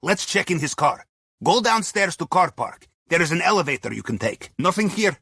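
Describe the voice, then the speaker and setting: An actor playing a jovial, wily Russian heist planner in a video game, husky-voiced, with a Russian accent and theatrical, clipped briefing lines. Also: male voice, a little high, sing-song, moderate pace, bright, forceful tone, dry recording, full character voice. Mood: brisk, matter-of-fact. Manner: theatrical, clipped phrasing with dropped articles. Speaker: mission guide in a video game. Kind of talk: video game character dialogue, mission briefing.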